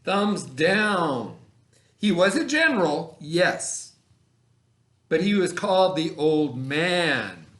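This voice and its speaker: A man's voice: a little high, big pitch swings, slow, a bit bright. A middle-aged man teaching children in a conversational way, often checking understanding with questions and repeating himself. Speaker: elementary school teacher. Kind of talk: read-aloud lesson for children, casual explaining. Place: indoor room, remote video lesson. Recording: echoey room.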